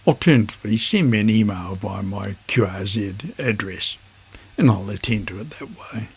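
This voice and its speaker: Husky low-voiced man, measured delivery. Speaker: amateur radio video host. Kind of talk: instructional vlog narration.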